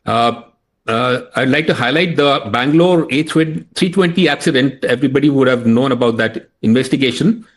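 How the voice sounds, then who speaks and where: An Indian-accented man speaking as an aviation expert on a TV news panel, in a halting, methodical way. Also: medium pitch. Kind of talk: formal TV debate commentary.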